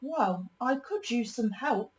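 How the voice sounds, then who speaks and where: A bossy storybook train driver, a man played by a woman reader, brisk and emphatic. His matter-of-fact delivery moves animatedly up and down. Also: a little high, expressive. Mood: hearty. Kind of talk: children's picture book character voice.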